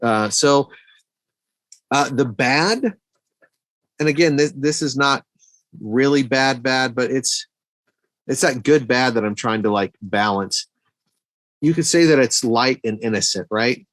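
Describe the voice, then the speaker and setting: Man, middle-aged, medium pitch, dry sound, casual podcast co-host, halting, repeats words. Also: a bit bright, no echo. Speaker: podcast co-host reviewing movies. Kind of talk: casual film discussion.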